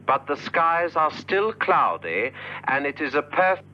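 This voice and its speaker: An actor playing a monotonous, hypnotic recorded dictaphone voice in a spy radio drama. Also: male voice, on the high side. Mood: monotone, hypnotic. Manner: slow, monotonous. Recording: dull, noisy radio recording with hum.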